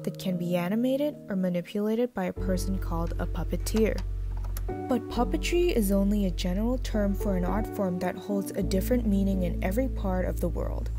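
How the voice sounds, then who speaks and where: A woman narrates a documentary in a steady, explanatory tone, her voice at a middling pitch. Clear music plays underneath the whole way. It sounds like a studio voice-over. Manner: measured, explanatory. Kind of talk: scripted narration.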